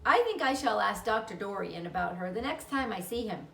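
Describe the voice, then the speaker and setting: A woman's voice: mid-range voice, lively intonation, a little fast, gravelly, a bit bright, reverberant, distant mic, slight background noise. A woman voicing a worried, proper storybook mother who questions with puzzled concern.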